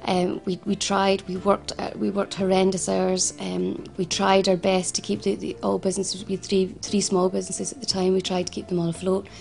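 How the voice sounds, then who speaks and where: Glaswegian woman telling her story, flat delivery. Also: mid-range voice, moderate pace, creaky, few pauses. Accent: Scottish, Glasgow. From Scotland. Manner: earnest, frequent restarts and repetitions. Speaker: founder of a financial advice service. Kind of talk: personal interview testimony.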